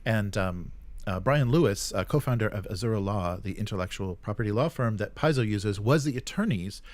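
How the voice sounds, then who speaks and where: A man co-hosting a gaming news show, casually running through items in a halting, hesitant way. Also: lowish voice, animated, a little mellow. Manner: halting, hesitant delivery while presenting. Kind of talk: casual news rundown and chat.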